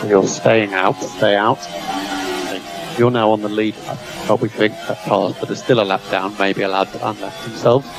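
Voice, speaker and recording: A man's voice: fairly low pitch, level tone, moderate pace, over music, poor audio. Man on team radio, clipped race engineer. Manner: clipped, repeats key instructions. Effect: team radio transmission. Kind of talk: terse radio instructions to a driver. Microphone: radio headset.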